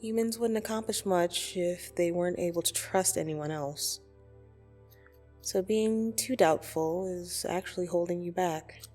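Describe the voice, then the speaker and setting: A woman playing a blunt, teasing yet soothing dream demon in an ASMR roleplay, speaking deliberately and intimately with gentle condescension. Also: lowish voice, lightly acted. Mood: soothing, concerned. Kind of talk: ASMR roleplay audio drama. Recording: soft music behind the voice. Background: soft meditation music.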